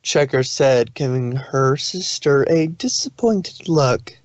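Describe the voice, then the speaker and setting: A man reads fan fiction aloud in a medium-pitched voice, narrating the story and now and then tripping over the text. The recording is very dry and a little muffled. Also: slow, no room sound.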